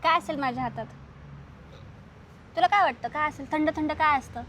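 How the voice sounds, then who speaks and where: A woman's voice: high voice, fairly quick, a bit bright. A woman talking playfully to a small child, coaxing with question after question as she teaches. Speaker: mother leading a play activity with her small child. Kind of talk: child-directed conversational teaching. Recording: noisy on-location sound with ambience.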